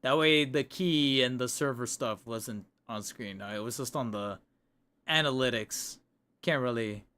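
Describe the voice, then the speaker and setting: A man's voice: medium pitch, unhurried, bright, forceful tone, clean tone. A man in a casual livestream chat, rambling and correcting himself, his voice getting tired. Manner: rambling, self-correcting, chatty. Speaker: streamer. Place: home room. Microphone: desk microphone.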